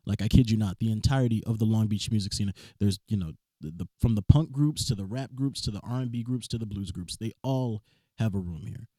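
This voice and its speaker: A man speaks with a rough, rustic rasp and a lilt. His tone is dark and mellow, and the studio room sounds close and dry around him. He rambles in relaxed chat, often restarting his sentences. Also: lowish voice, a little fast, no echo. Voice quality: rough, raspy voice. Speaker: blues and soul musician, podcast guest. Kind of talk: casual chat.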